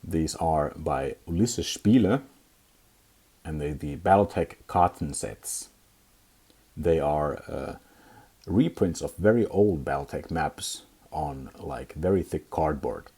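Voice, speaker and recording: A young Finnish man with a Finnish accent, hosting a casual hobby review vlog, talking in a halting way with many fillers and restarts. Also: extremely low pitch, warm tone, slightly rough. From Finland. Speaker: tabletop gaming channel host.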